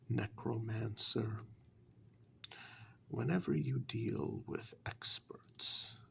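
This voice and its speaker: A man playing a sly, condescending warlock in a soft-spoken ASMR dark fairytale role-play, patronising and deliberate, with long pauses. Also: lowish voice, heavily acted. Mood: knowing, menacing. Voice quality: soft, breathy half-whisper with gravelly creak.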